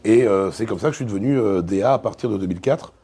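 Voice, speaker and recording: A man in a casual interview as a voice actor and dubbing director, telling anecdotes haltingly and repeating words as he goes. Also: on the low side, warm tone, breathy edge. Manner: hesitant, repeats words, acts out quoted exchanges.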